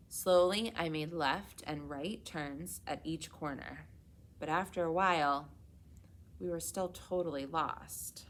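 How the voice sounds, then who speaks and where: A woman reading a children's storybook aloud in an expressive, measured way. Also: low voice, slight background noise. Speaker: children's read-aloud reader at a public library.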